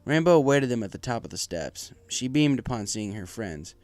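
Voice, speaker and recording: A man's voice: medium pitch, lively intonation, moderate pace, darkish tone, no room sound. A man reading a fan fiction story aloud as a scripted narration. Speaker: story reader. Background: music.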